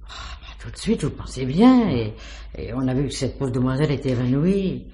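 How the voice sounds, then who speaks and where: Female concierge in a radio mystery: low for a woman, gossipy, flustered, exclamatory. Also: lively intonation, a little fast, mellow. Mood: chatty, concerned. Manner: garrulous, exclamatory, hesitant. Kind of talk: French radio crime drama acting.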